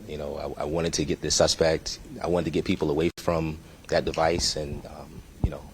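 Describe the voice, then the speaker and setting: A man in his forties, a police chief answering press questions in a measured, hesitant way with many fillers. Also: a bass voice, level tone, slight background noise, rough recording.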